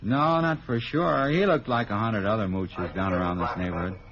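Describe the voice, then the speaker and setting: An actor playing a talkative, grumbling city cab driver in a 1950s radio drama, casual and slangy. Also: male voice, on the low side, moderate pace, close to an everyday voice. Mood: offhand, grumbling. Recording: dull, noisy vintage radio recording.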